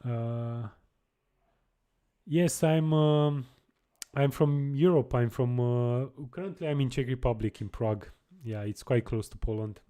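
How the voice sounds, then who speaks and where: A young man chatting casually on a live coding stream, halting and restarting his sentences as he answers viewers. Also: medium pitch, warm tone, clear, resonant tone. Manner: halting, many restarts and repeated words.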